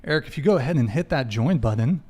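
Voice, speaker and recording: A man's voice: mid-range voice, animated, fast talker, darkish tone. A young man hosting a live dating podcast, loose and joking as he stumbles through announcements. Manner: loose, joking, stumbles over tech mishaps. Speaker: podcast host. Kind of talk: live stream announcements, casual.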